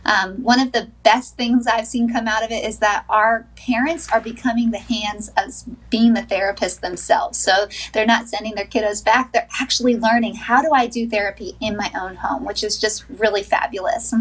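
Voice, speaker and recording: A woman in her thirties with a slightly high voice talks as a therapist guest on a morning show. She sounds earnest and upbeat, with small laughs between points. She joins by video call from a small wood-paneled room. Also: steady tone, normal speed, few pauses, rough recording. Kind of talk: sponsored TV interview, remote.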